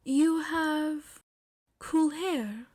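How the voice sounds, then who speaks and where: A woman plays a bashful, smitten teenage girl in an audiobook. Her voice is fairly high and slow, halting and easily flustered, turning breathy when she softens. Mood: shy, smitten. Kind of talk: audiobook character dialogue.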